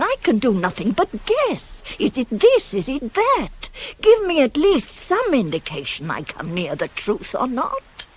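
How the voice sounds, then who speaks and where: A husky, forceful old nurse. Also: female voice, a little high, heavily acted. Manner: theatrical, insistent, measured, weighty phrasing. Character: older devoted nurse to a queen, forceful, worldly-wise. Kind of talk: 1980s radio drama acting of Greek tragedy.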